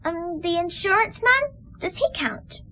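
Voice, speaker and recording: A woman's voice: extremely high pitch, expressive, measured pace, bright, forceful tone, highs cut off, a little hiss or hum, full character voice. A female performer playing an innocent, trusting little girl with candid, childlike phrasing in a radio drama.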